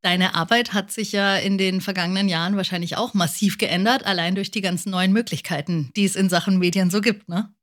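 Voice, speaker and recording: A woman's voice: medium pitch, steady tone, fairly quick, hardly any pauses. A woman presenting and interviewing as a podcast host.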